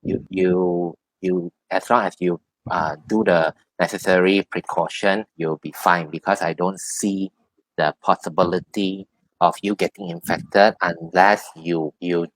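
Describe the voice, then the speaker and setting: A man giving spiritual advice as a tarot reader, explaining things haltingly and often repeating his phrases. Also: low voice, steady tone, no echo, rough recording. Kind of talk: spiritual advice in a one-on-one reading.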